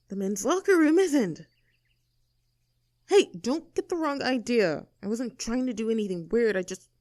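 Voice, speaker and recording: A woman's voice: mid-range voice, a little mellow, dry recording, close to an everyday voice. A snooty young mean girl, acted out by a woman for an audio roleplay. She sounds bratty and sarcastic, always ready to take offence, with a mid-range female voice. The recording is dry, with no room around her words. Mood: haughty, grudging, then defensive, snappish. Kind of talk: acted audio roleplay drama, addressing the listener.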